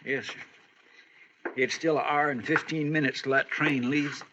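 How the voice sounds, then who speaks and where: An actor playing a meek, loyal deputy sidekick with a rural American accent and a deferential, folksy manner in a radio western. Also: male voice, fairly high pitch, fairly even intonation, normal speed, close to an everyday voice. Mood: uneasy. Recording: dull, noisy old radio recording.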